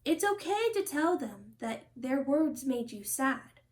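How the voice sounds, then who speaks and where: A woman voicing a caring, worried giraffe parent in a storybook, gentle and concerned. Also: high voice, sing-song, slow, full character voice. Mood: reassuring. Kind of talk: children's storybook character reading.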